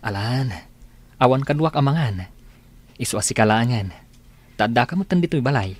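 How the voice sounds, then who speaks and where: A man's voice: mid-range voice, expressive, moderate pace, no echo, close to an everyday voice. An Ilocano Filipino man playing a lovelorn, good-natured young village suitor in a radio drama. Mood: friendly.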